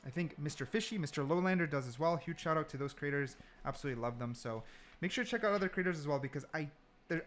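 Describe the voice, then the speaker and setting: A man's voice: on the high side, fairly bright tone. A man giving casual, slangy gameplay commentary, thinking aloud as he goes. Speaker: gaming content creator.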